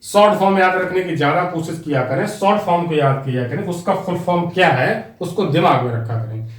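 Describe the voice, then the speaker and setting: A man's voice: a little high, roomy sound. A man with an Indian accent teaching an exam-prep class, repeating key facts and speaking straight to his students. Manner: repetitive, drilling facts, addresses students directly.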